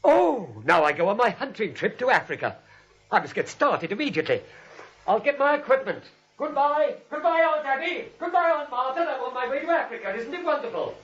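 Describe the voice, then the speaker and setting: High, husky, deluded would-be president. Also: male voice, big pitch swings, fairly quick, fairly bright tone, full character voice. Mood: exuberant. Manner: grand, theatrical, formally polite. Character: deluded man believing himself President Roosevelt, jovial and bombastic. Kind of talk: 1940s radio comedy acting. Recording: dull vintage radio recording.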